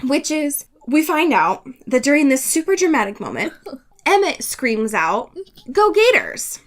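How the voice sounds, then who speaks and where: Casting: woman, fairly high and very animated, gushing casual recapper for a chatty, sweary podcast. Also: unhurried. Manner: gushing, sweary, often laughing. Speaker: podcast co-host. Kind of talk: casual book recap chat.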